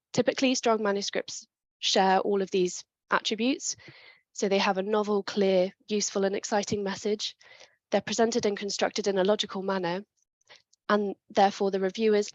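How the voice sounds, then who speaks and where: A woman presenting a webinar talk on writing papers, clear and methodical, with a chest infection coming on. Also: medium pitch, steady tone, normal speed, no room sound, dull audio. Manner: clear, methodical, with small restarts.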